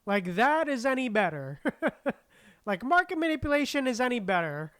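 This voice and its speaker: A man's voice: extremely high pitch, big pitch swings, normal speed, fairly bright tone, clear, resonant tone, dry recording, clean studio sound. A man giving casual live reactions as a game streamer, slangy and wry, often in short interjections. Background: faint game music.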